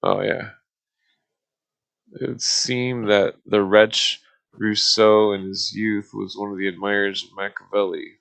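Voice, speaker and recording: A man reading aloud casually on a live stream, halting and stumbling over formal text, with muttered asides. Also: fairly low pitch, little pitch movement, creaky, a bit bright, low-quality recording.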